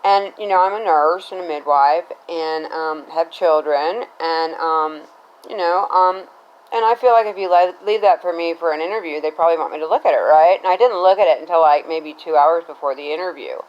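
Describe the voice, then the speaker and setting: A woman's voice: low-pitched, a little fast, lo-fi sound. A middle-aged American woman telling a personal story casually, in a rambling, conversational way, often checking in with the listener. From Cincinnati, Ohio, United States.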